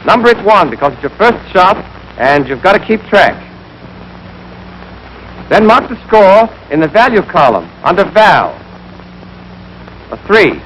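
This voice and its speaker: A man's voice: sits high, sing-song, a little slow, pauses often, a bit bright. A young American man giving scripted, clipped, step-by-step marksmanship instruction as an army instructor in a 1940s training film. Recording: noisy 1940s film soundtrack.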